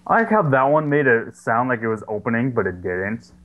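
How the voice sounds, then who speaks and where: Young man, gamer, casual chat, sweary, jokey, sarcastic, mid pitch, headset mic. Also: very animated, fairly quick, phone-quality sound. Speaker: gaming channel host.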